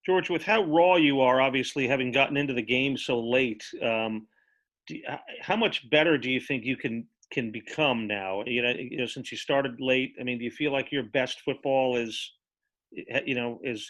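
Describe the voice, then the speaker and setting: A man working as a sports reporter, putting questions at a press conference and rephrasing haltingly as he goes. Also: mid-range voice, a bit bright.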